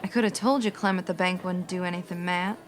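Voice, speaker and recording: A woman's voice: on the low side, fairly even intonation, fast talker, a loud crowd, very noisy, lightly acted. A woman playing a worldly, put-upon Old West saloon woman in a radio western, dry and matter-of-fact, with an American accent. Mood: frank.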